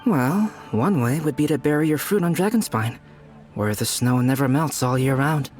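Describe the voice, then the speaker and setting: A man plays a young scholar in an anime game, calm and composed with a mid-range voice. He is polite, measured and quietly teasing. Music runs behind him. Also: mid-range voice, close to an everyday voice. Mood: calm, explanatory. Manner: measured, polite, unhurried, articulate. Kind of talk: anime-style video game voice acting.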